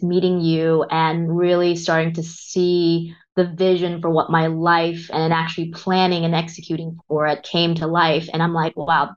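A woman's voice: lowish voice, highs cut off. A woman in her thirties, raised in Texas after being born in Vietnam, talking candidly as a podcast guest about her life. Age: 30s. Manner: candid, reflective. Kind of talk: reflective interview talk.

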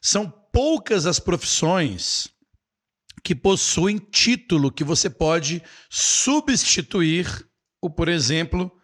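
A man's voice: sits high, takes it slowly. A man with a Brazilian Portuguese accent teaching a live online English lesson, explaining playfully and reading viewer comments. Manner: animated, playful, explaining with imitations.

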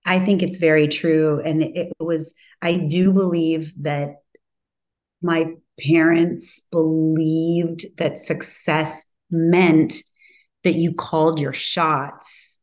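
A woman's voice: deep voice, little pitch movement, takes it slowly. A woman speaking as an interview guest in a reflective, conversational way, self-assured and emphatic with a strong voice. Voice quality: loud, strong voice.

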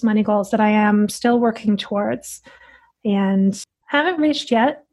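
A woman's voice: mid-range voice, darkish tone. A woman speaking as a dietitian guest on a podcast, answering in a direct, earnest way.

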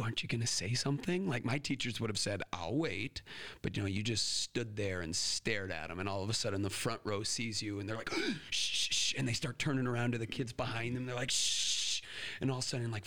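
A young man hosting a podcast interview, telling stories with lively acted-out quotes. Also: lowish voice, a little fast. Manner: animated storyteller, acts out quotes. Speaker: podcast host.

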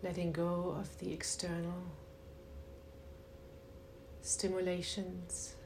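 A woman leading a guided meditation in a soothing, unhurried way with long pauses and a soft voice that turns breathy at times. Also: on the low side, monotone, background noise.

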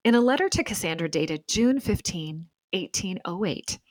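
A woman's voice: on the low side, lively intonation, moderate pace, no echo. A woman hosting a podcast, speaking as a presenter in narration and interview. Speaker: podcast host. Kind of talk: scripted narration and interview talk.

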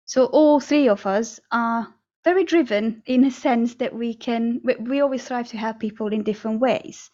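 A woman talks through her story as an interview guest, earnest and a little halting, with small laughs between thoughts. Her voice sits fairly high. The sound is dull and lo-fi, like a remote call. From Hungary. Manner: earnest, with frequent fillers and soft laughs. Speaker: guest, NLP trainer and hypnotherapist.

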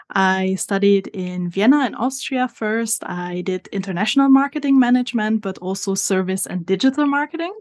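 Woman, 20s, italian accent, podcast guest, methodical explanations, filler words. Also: medium pitch. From Italy. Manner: explains step by step, frequent fillers. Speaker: social media strategist guest. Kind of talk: podcast interview.

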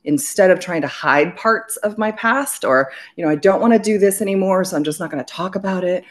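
A woman's voice: on the low side, a little fast. A woman raised in Belgium, a business owner speaking as a guest in an interview, talking earnestly in long flowing sentences. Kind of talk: conversational interview.

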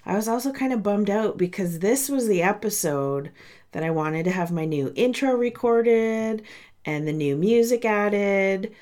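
A woman's voice: medium pitch, animated, a little slow, a little mellow. Congested, nasal woman podcast host. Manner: chatty and candid, speaks to listeners directly. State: congested from a sinus cold. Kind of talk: casual solo podcast monologue.

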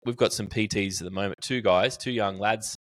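A man's voice: fairly low pitch, fairly even intonation, brisk, a bit bright. A middle-aged Australian man, a podcast guest, talking casually and animatedly, telling stories with restarts and fillers. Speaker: podcast guest, marketing educator. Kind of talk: casual interview chat.

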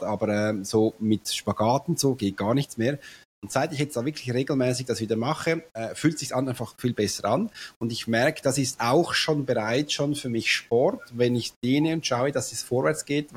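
Hoarse podcast host. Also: male voice, medium pitch. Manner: chatty, self-deprecating, anecdotal. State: hoarse from a cold. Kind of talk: podcast conversation.

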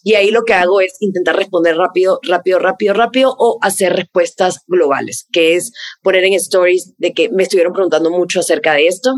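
Animated Venezuelan woman with Mexican-tinged accent. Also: lowish voice, no echo. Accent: Venezuelan with Mexican influence. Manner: fast, animated, repeats words for emphasis. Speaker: content creator, guest. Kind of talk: casual interview answers.

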